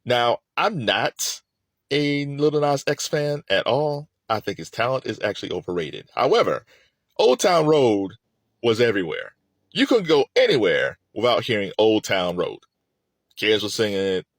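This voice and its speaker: Middle aged guy chatting opinions about music, lowish voice but super animated, dry sound. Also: a little slow, no room sound. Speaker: music commentator and host. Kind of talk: casual opinionated solo commentary.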